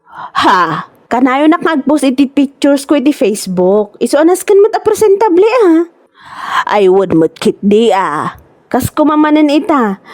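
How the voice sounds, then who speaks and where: A Filipino woman with an Ilocano accent playing a vain, boastful, scornful village woman in a comedy radio drama. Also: high voice. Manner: animated, scornful, exclaiming.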